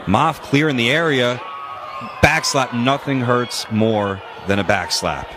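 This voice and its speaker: A man calling wrestling moves in quick succession as play-by-play commentator, with jokey asides. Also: mid-range voice, a crowd in the background, background noise.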